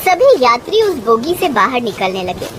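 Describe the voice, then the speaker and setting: High, animated woman storyteller. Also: normal speed, noisy background. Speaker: story narrator. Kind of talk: scripted narration of a Hindi moral story.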